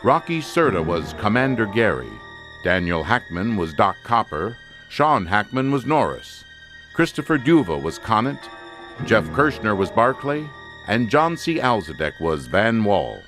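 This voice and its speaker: A man announcing credits in old-time radio drama style, theatrical and measured. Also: fairly low pitch, sing-song, over music. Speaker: announcer reading closing credits.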